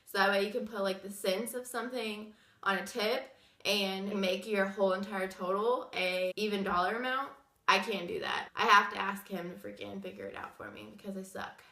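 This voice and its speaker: Young woman talking while sick, wheezy bright voice, rambly chatty vlog in an echoey room. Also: medium pitch, normal speed. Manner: chatty, rambling with many fillers. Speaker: vlogger. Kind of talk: casual story time chat.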